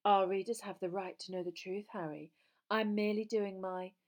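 A woman's voice: medium pitch, fairly even intonation, moderate pace, lightly acted. A woman reader plays a storybook gossip reporter, a smug and cold-hearted woman. She gushes sweetly and talks down to everyone. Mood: gushing, smug. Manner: gushing, condescending.

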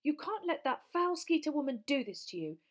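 A woman voicing a fierce, hot-tempered teenage schoolgirl with a shrill, emphatic delivery in a story reading. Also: moderate pace. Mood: urgent, indignant.

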